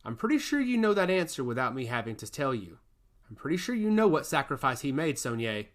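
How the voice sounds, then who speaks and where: A man's voice: mid-range voice, very animated, brisk, lightly acted. A man voicing a smug, teasing god-touched emissary in a fantasy story, with a needling, theatrical manner. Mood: insistent. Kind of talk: fantasy audio story character.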